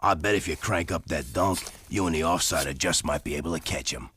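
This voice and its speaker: A man voicing a gruff, shady wasteland trader in a video game, with a raspy Australian accent. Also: a bass voice, brisk, fairly bright tone, heavily acted. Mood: roguish, amused. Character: rough, shady wasteland trader and racer, roguish. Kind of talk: video game cutscene acting.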